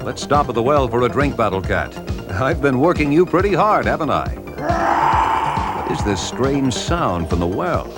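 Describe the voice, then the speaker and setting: An actor playing a brave, earnest barbarian hero, declamatory and stalwart, in a children's audio storybook. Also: male voice, mid-range voice, sing-song, normal speed, natural voice. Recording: echoey reverberant hall, loud music behind.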